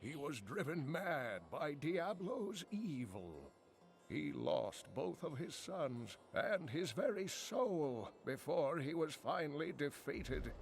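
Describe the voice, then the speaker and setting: Old scholar uncle in a fantasy game, highish voice that swoops around, grave storyteller, bit of noise. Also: male voice, creaky, full character voice. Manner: slow, expressive, foreboding storytelling. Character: elderly kindly scholar uncle, grave and learned. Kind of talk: fantasy video game voice acting.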